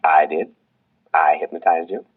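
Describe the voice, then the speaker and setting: An actor in a 1950s radio drama playing a calm, coldly logical supercomputer with formal, flat, precise diction. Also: male voice, a bass voice, normal speed. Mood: cold, matter-of-fact. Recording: muffled vintage 1950s radio broadcast.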